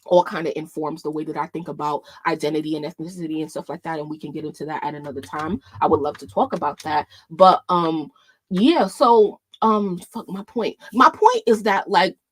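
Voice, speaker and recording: A woman's voice: sits low, no room sound. A woman in her late twenties from New York, with a Caribbean lilt that sounds Jamaican, vlogging in a rambling casual chat that keeps interrupting itself. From New York, USA. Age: late 20s. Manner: rambling, self-interrupting, laughs often.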